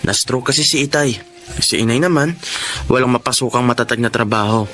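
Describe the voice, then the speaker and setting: An earnest young man, played by an actor, the dutiful eldest son of a radio drama. He speaks respectfully and hopefully at a medium pitch, with music underneath. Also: brisk, fairly bright tone, close to an everyday voice. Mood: humble, narrating. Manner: respectful, sincere. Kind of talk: Filipino radio drama acting.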